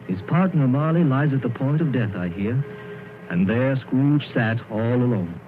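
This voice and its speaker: An actor playing a gentle husband in a 1930s radio drama, quiet and matter-of-fact. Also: male voice, mid-range voice, animated, normal speed, natural voice. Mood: calm. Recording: tinny 1930s radio with hiss.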